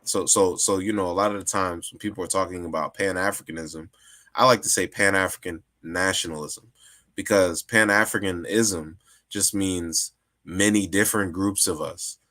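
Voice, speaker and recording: A low-voiced man talks through his ideas in a loose, conversational way. His tone is bright and forceful, and he often restarts and repeats words as he builds his point. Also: steady tone, normal speed. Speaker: guest. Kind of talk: casual discussion.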